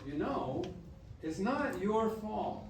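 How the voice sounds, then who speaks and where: Playful, loving grandpa acted by a man, high-pitched and swooping, emphatic stage delivery, caught from across the room. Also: sing-song, slow, exaggerated voice. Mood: caring. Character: playful, loud, loving grandfather back from death. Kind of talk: staged musical reading, acted dialogue. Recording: distant, echoey room with faint noise.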